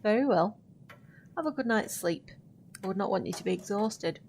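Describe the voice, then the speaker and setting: An actress playing a centuries-old witch in an audio drama, gracious and quietly sorrowful, with formal, measured diction. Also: mid-range voice, lively intonation, gravelly, slight background noise. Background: music.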